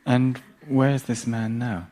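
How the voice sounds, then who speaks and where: An actor playing a calm, patient helpline volunteer with measured, probing questions and sympathetic murmurs in radio comedy drama. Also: male voice, medium pitch, close to an everyday voice. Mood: calm concern.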